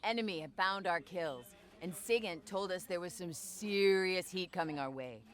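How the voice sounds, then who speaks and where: An actress playing a tough, teasing soldier who recounts battles casually in dry, clipped military talk for a video game. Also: female voice, on the low side, normal speed, soft background music, close to an everyday voice. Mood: matter-of-fact, tense. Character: tough, teasing female soldier, squadmate of the storyteller. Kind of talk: casual in-character storytelling.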